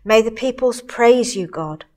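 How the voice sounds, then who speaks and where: A middle-aged woman leading worship, reading scripture in a measured, deliberate way. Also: fairly high pitch, animated, a little mellow. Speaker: Salvation Army officer leading worship. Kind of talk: scripture reading in a church service. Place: church hall, indoors.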